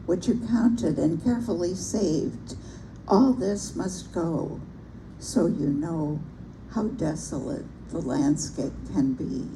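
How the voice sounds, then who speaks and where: An older woman with a soft, gentle voice, reading a favorite poem aloud at a bookstore event and talking about it reflectively. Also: lowish voice, gravelly. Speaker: poetry reader at a public reading. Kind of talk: reciting a poem with personal remarks. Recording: noisy live event recording.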